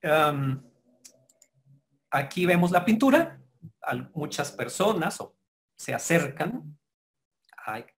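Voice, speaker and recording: A man giving a formal lecture to members, speaking in a measured, explanatory way with pauses and self-corrections. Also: on the high side, very animated. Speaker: guest lecturer.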